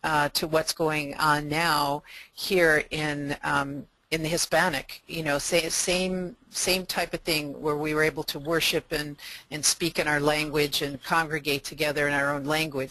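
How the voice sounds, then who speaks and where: A woman's voice: sits low, bright, forceful tone, dry recording, low-quality recording. A woman speaking as a panelist in a church webinar, telling reflective stories about serving in parishes. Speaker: webinar panelist, catechetical leader. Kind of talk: webinar discussion.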